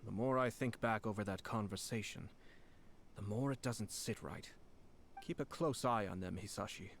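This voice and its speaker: A man playing a courteous, formal young nobleman and clan head in a fantasy video game, speaking in a composed, measured way. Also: on the low side, vocal fry, fairly bright tone, a little hiss or hum. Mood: calm, watchful. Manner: formal, measured, politely calculating.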